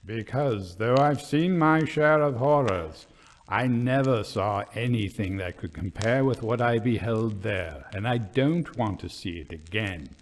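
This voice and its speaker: Man acting a grave, deliberate British colonel. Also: fairly low pitch, lively intonation. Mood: haunted, reflective.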